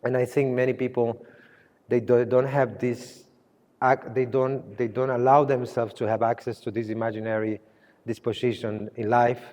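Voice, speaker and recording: A man who grew up in Spain, speaking English as a second language, talks about his art in a halting way, often restarting and searching for words. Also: medium pitch, level tone, darkish tone, long reverb tail. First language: Spanish. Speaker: artist. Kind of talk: gallery conversation.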